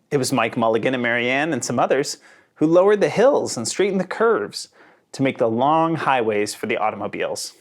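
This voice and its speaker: A man reading a children's picture book aloud in an expressive storytelling manner. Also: medium pitch. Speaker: storybook reader.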